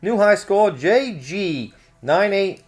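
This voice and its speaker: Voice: medium pitch, expressive, takes it slowly, a little mellow. A co-host of a store news show, reading out event listings with casual banter and playful joking asides.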